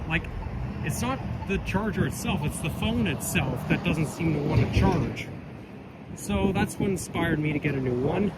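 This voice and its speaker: A man's voice: on the high side, expressive, moderate pace. A man with a slightly stuffy, nasal voice from a cold, chatting in a rambling way to his phone as he walks a city street. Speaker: vlogger. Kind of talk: casual walking vlog. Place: city street outdoors with traffic. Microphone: phone held while walking. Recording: loud traffic noise outdoors.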